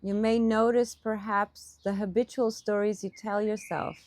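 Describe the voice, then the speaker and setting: Woman doing guided meditation outside, really slow and gentle, normal pitch, birds chirping. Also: flat delivery. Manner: unhurried, gentle phrasing with pauses. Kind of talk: guided meditation, softly instructive and partly improvised. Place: outdoors in a park.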